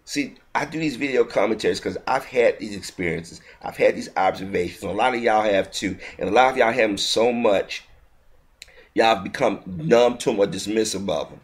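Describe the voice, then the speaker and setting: A man's voice: on the low side, a little fast. Indignant man ranting on camera. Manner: heated, indignant, repetitive ranting with frequent restarts. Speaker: video commentator. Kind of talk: ranting video commentary.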